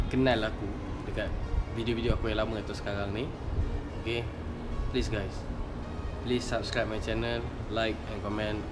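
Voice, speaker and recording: A man in his thirties with a Malaysian Malay accent, chatting casually and easily on a motorcycle vlog in an indoor car park, filmed on a close-held action camera. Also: lowish voice. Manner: relaxed, chatty, drawn-out fillers. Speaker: motorcycle vlogger. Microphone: action camera held close to the face.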